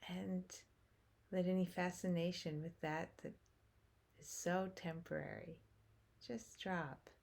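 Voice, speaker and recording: A woman guiding a meditation as a teacher, speaking in short phrases with long pauses and hushed endings. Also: lowish voice, fairly even intonation, vocal fry. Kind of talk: guided meditation, slow spoken instruction.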